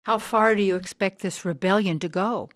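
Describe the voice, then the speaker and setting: A driven, hard woman of sixty in a radio drama, played by an actress. The voice is medium-pitched and challenging, recorded dry. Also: normal speed, no echo, lightly acted. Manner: challenging, direct.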